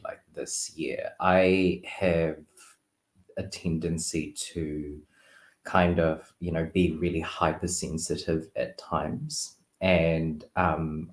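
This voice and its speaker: Low-voiced New man, echoey room. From Philippines until age twelve, then New Zealand. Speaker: guest on a card reading show. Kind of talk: casual chat.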